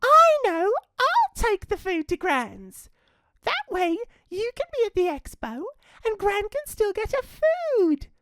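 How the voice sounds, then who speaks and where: A squeaky, stubborn little girl. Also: wildly swooping pitch, takes it slowly, pushed and bright, no room sound, clean studio sound, exaggerated voice. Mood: pleading. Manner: whiny, insistent. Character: eager, stubborn seven-year-old girl.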